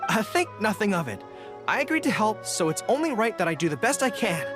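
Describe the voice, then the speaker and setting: An actor playing an earnest, dutiful young canine general in a video game, speaking in a deliberate, thoughtful way. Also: male voice, sits high, big pitch swings. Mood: wistful, earnest. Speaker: army general answering readers' letters. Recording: background music under the voice.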